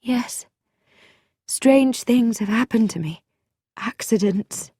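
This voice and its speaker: A woman's voice: fairly high pitch, slow pace, mellow, husky, no room sound, studio quality, voice pushed up, heavily acted. A woman voicing a frightened, desperate young wife in a mystery story, hesitant and pleading. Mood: anxious, exhausted.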